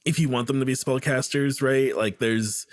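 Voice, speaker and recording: A man's voice: medium pitch, fairly even intonation, normal speed, dry recording, studio quality. A man co-hosting a podcast, chatting casually about a fantasy tabletop game, rambling with many fillers. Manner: rambling, lots of fillers, laughs often. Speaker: podcast co-host.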